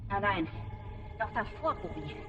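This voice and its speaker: A woman's voice: medium pitch, animated, moderate pace, muffled recording, close to an everyday voice. An actress voicing a cruel, contemptuous female camp commandant who barks orders in a video game. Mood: commanding. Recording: distant voice in a noisy game mix with loud music.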